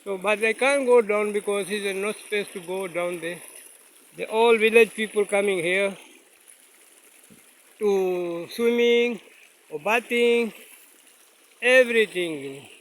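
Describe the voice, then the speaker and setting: A man whose first language is Urdu, vlogging outdoors by a mountain stream in halting, simple English with many fillers, filmed on a phone without a separate mic. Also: high voice, fairly bright tone, poor audio. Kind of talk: casual vlog narration. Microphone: phone camera, no separate mic.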